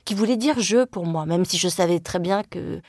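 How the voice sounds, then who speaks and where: A woman's voice: fairly low pitch, normal speed, a little mellow, dry recording, studio quality. A woman from France whose first language is Vietnamese, speaking as a journalist in a reflective interview, thoughtful and hesitant with frequent fillers and restarts. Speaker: journalist and writer.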